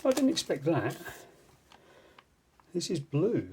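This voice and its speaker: A man's voice: on the high side, wildly swooping pitch, slow, background noise. A man hosting an unboxing video with casual, unscripted commentary and dry, self-deprecating asides. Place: indoors at a tabletop. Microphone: phone filming the tabletop.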